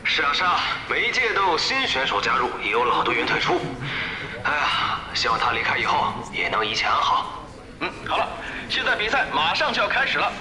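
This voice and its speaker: An actor playing a chatty, self-important esports commentator in an audio drama, with a glib, polished announcer's delivery. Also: male voice, fairly high pitch, very animated, fairly quick, far from the mic, a crowd in the background. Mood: smugly wistful. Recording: roomy broadcast sound under loud music.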